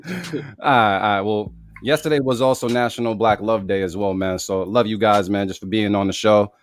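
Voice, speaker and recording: A man's voice: on the low side, normal speed, slight background noise. A man hosting a podcast, chatting casually and calling his guests "man" and "bro". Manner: laid-back, peppers talk with "man" and "bro". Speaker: podcast host. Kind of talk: casual interview chat.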